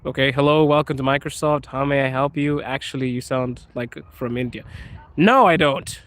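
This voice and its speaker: A man's voice: mid-range voice, normal speed, a bit bright. A man from Zimbabwe live-streaming outdoors on a phone, casually reading and joking about viewer comments as he walks. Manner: laughing, joking with chat while walking. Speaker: livestreamer. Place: outdoors in a cold city street.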